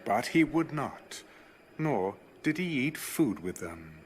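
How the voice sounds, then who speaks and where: A man's voice: medium pitch, very animated, slow pace, a little hiss or hum. A man narrating scripture from a script as dramatic audio Bible storytelling.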